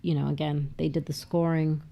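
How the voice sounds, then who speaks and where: A woman with a nasal voice, co-hosting a casual film commentary with quick asides and plenty of fillers. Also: low-pitched, level tone, gravelly, lo-fi sound. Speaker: podcast co-host.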